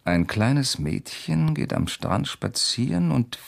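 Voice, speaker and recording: An actor playing a persistent, slyly courteous investigator in a German radio crime drama, questioning insistently and deliberately. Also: male voice, medium pitch, sing-song, creaky, heavily acted. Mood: probing, feigned innocence. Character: persistent amateur investigator, male, probing with sly courtesy.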